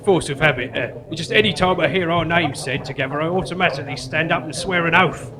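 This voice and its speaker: A man's voice: on the high side, brisk, crowd noise, natural voice. A man acting in a live stage comedy as a dim, nervous, grumbling sidekick to a petty criminal, slangy and colloquial. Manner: colloquial, slangy, deferential then exasperated. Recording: live stage recording with clear noise.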